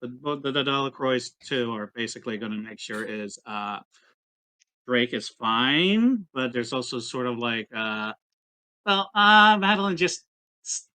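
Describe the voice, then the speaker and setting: A man with a bright, sharp voice in a middle register talks through his characters' moves in a tabletop game. His phrasing is casual and halting, built up piece by piece. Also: mid-range voice, lively intonation, clear, resonant tone. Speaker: tabletop roleplaying player. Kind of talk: casual roleplay, narrating his characters' actions.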